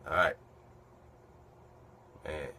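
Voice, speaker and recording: A man hosting a casual live stream, hurried and slangy, repeating himself as he rushes. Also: pushed and bright, a little hiss or hum. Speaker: host.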